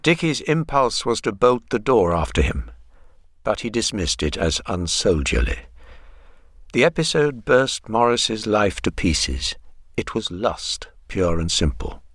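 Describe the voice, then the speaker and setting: Husky low English narrator. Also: male voice, very animated, unhurried, a bit bright, no echo, studio quality, exaggerated voice. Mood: grave, reflective. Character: grave, measured male narrator of an Edwardian literary story. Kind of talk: scripted literary narration in radio drama.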